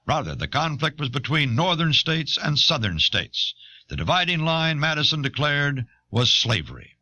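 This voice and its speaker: A male narrator with a very sharp, forceful voice reads scripted documentary material. His delivery is formal and measured, with a lively rise and fall in pitch, captured on an old audio cassette. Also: no echo, muffled recording.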